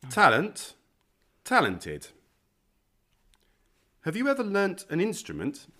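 Male teacher voice, scripted lesson questions, very animated pitch. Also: slow.

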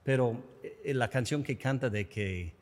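A man's voice: mid-range voice, unhurried, in a large hall. A man hosting an interview in Spanish with an American accent, English his first language, upbeat and jokey. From United States. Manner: jokey, upbeat, self-deprecating. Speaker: podcast host. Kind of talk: casual interview.

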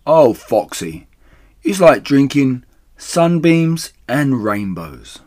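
A man plays a boisterous storybook badger, greedy and effusive. The words come out loud and emphatic, stretched slowly with swooping pitch. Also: very animated, exaggerated voice. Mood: blissful.

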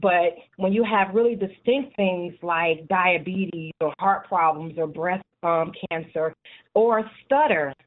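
A woman's voice: lowish voice, slow. A middle-aged woman speaking earnestly and at length as a guest in a radio interview, with passionate conviction. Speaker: guest, youth support group chapter leader. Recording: narrow tinny phone-like line.

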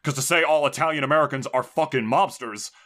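A young man co-hosting a podcast, talking in a blunt, casual way with lots of swearing and quick asides. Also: medium pitch, a bit bright. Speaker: podcast co-host. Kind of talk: casual chat.

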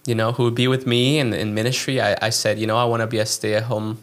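A man's voice: mid-range voice, level tone, fast. A young man from Chile who works as a pastor, talking earnestly in a casual interview and often restarting his sentences.

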